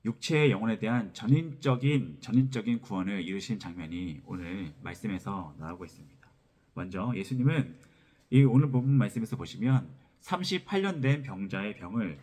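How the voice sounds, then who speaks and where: A pastor delivers a sermon in a fairly low male voice. He reads scripture and then explains it step by step, often repeating a phrase before moving on. His throat is a little sore from a cold. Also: a little mellow, husky. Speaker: pastor preaching. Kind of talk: expository sermon with scripture reading.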